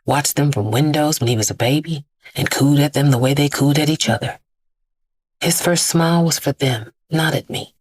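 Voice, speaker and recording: A middle-aged immortal vigilante mother in an audio drama. Her voice is low and husky, recorded dry and close. She tells her story bluntly and plainly, guarded and full of sorrow. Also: dry recording.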